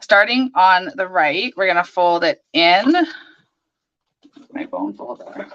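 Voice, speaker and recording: A hoarse woman hosting a live crafting stream, chatting and greeting viewers by name as she works. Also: on the low side, lively intonation, slow, fairly bright tone, highs cut off. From Canada.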